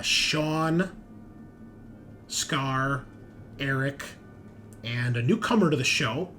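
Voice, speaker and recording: A male host of a tabletop gaming show speaks in an average-pitched voice over clearly audible background noise. His delivery is loose and conversational, rambling a little and hesitating as he goes through announcements. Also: fairly bright tone. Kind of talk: casual chat, rambling announcements of upcoming episodes.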